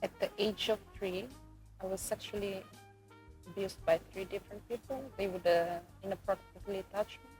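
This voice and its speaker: A woman's voice: mid-range voice, steady tone, moderate pace, darkish tone, soft background music, rough recording. A woman in her late twenties from Fiji, giving a personal account as an interview guest. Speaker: podcast guest, postgraduate student. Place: couch in a room.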